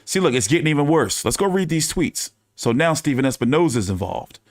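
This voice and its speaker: A man's voice: on the high side, very animated, fairly quick, dry recording, clean studio sound. Loose, profane man chatting about boxing. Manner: loose, profane, rambling, repeats phrases. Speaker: boxing news channel host. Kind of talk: casual fan chat commentary.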